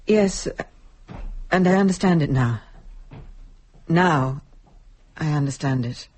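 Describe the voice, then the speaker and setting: Slow, husky, frightened wife. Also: female voice, low voice, expressive, a little mellow, exaggerated voice. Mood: frightened, awed. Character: frightened, awed wife at a haunted gathering. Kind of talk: radio horror drama acting.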